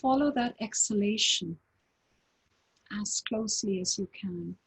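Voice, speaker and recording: Slow, breathy woman guiding a meditation. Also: mid-range voice, poor audio. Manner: slow, calm, with long pauses. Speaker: meditation teacher.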